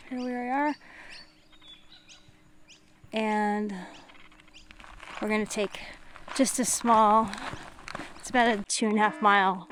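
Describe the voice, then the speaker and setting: A woman chatting casually to viewers in an unscripted, musing way with trailing pauses, as a hiking vlogger recording outdoors. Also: mid-range voice, a bit bright. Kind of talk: casual vlog chat to viewers. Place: outdoors, on a walk and at a tent setup. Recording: noisy outdoor camera recording with natural ambience.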